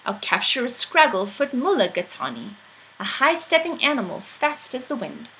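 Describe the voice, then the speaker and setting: A woman's voice: on the high side, big pitch swings, moderate pace, fairly bright tone, a little hiss or hum, low-quality recording. A woman voicing an eager, boastful, imaginative young boy in a storybook, with emphatic, sing-song rhyming delivery. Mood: excited, adventurous.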